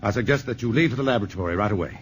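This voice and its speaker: A man's voice: fairly low pitch, expressive, racing, lightly acted. An actor playing an urgent, resolute male scientist in a 1950s radio drama. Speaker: physicist. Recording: old radio broadcast with hiss.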